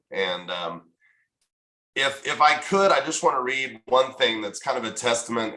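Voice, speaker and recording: A man's voice: mid-range voice, bright, forceful tone. A man giving informal thanks as an event organizer, speaking earnestly and a bit hesitantly. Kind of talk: informal thank-you remarks at online event. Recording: roomy, echoing sound.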